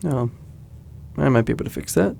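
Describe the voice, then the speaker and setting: An easygoing young man in an audio drama, teasing and protective. His voice sits at a middle pitch with heavy vocal fry, in a natural conversational delivery. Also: expressive, brisk, mellow, a little hiss or hum. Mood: casual. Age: young adult.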